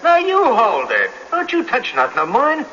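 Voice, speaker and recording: An actor playing a jittery, defensive young hipster crook who protests in excitable beatnik slang, in 1970s radio drama style. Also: male voice, extremely high pitch, moderate pace, full character voice. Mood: agitated protest. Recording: dull, noisy old radio recording, reverberant hall.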